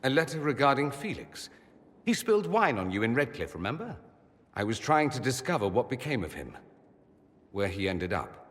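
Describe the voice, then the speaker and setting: Casting: vain, witty noble mage for a fantasy game; eloquent, arch, very animated delivery, reverberant hall sound. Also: male voice, medium pitch, normal speed. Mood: subdued, grieving. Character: witty, vain, aristocratic male mage exiled from his homeland. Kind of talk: scripted video game character dialogue.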